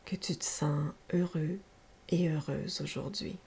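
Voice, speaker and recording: A woman guides a relaxation practice in a soft, gravelly low murmur with frequent creak. She speaks very slowly and gently, leaving each instruction room to settle. A faint hiss sits behind her voice. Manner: soft, breathy, unhurried, gentle. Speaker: yoga nidra teacher. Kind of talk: guided meditation, slow soothing instruction. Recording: faint steady background hiss.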